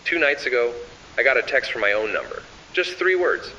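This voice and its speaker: A man narrating scripted analog horror, addressing the listener in an ominous, deliberate and measured manner. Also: mid-range voice, expressive, bright, forceful tone. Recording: dull lo-fi, distant, hissy.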